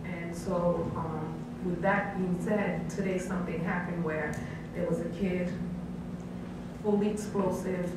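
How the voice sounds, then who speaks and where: A woman's voice: fairly low pitch, steady tone, measured pace. Woman speaking far off in an echoey room. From Haitian background. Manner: earnest, many um fillers and restarts. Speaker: family counselor on a panel. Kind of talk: unscripted panel talk. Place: college event room. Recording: echoey room, distant mic, background hiss.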